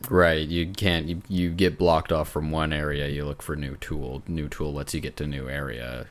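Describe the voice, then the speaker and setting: Male co-host, notably deep voice, informal gaming chat, stop-and-start phrasing. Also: flat delivery, normal speed. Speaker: podcast host. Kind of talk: casual chat about video games.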